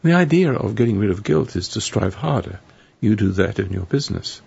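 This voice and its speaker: A hoarse man hosting a live call-in radio show, rambling and preachy with a sardonic edge. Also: on the low side, expressive, fairly quick, darkish tone. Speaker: radio talk show host. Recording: dull lo-fi radio broadcast.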